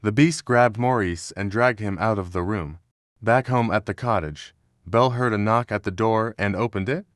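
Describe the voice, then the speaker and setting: A man's voice: fairly low pitch, moderate pace, no room sound, studio quality. A man reading scripted narration as the narrator of a children's storybook.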